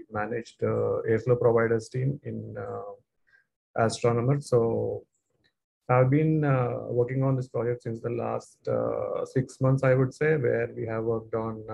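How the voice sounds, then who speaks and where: A man from India presents in a webinar over a dull, lo-fi call line. His voice is raspy and deeply gravelly, and his pitch barely moves. He hesitates often with uhs. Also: dark tone. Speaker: engineering manager presenting. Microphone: video call microphone.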